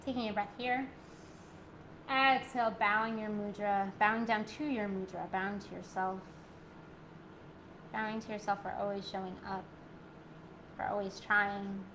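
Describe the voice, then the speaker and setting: A woman in her thirties teaching a live yoga class from her living room on a laptop mic, moving from soft guided cues to chatty talk with viewers. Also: mid-range voice, noisy background. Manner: soft, slow guided cues, then bubbly rambling chat. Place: living room at home, indoors. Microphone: laptop mic, webcam framing.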